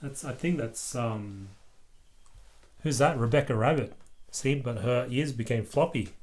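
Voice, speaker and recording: A parent reading a children's story aloud to a child, in an animated way and acting out the dialogue. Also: mid-range voice, unhurried, a little hiss or hum.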